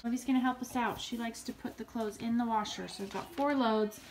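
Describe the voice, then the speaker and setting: American woman, 30s, vlogging mother, casual chat, higher pitch, very noisy handheld phone sound. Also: fairly even intonation, brisk. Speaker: mother filming family vlog. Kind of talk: casual home vlog chat. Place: inside a family home.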